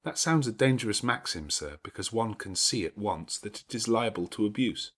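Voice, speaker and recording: A man voicing a grave, composed young governess in a classic novel, with measured, restrained and formal speech. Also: fairly low pitch, animated, a bit bright, slightly rough. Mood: firm, cautious. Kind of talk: dramatized classic novel audiobook reading.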